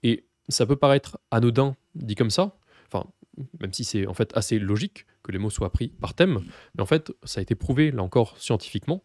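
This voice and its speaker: Dry-sounding French teacher explaining. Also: male voice, medium pitch, animated, a little fast, a little mellow, dry recording. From France. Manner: explanatory, many fillers and restarts. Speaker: Russian language teacher. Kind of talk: course presentation to camera.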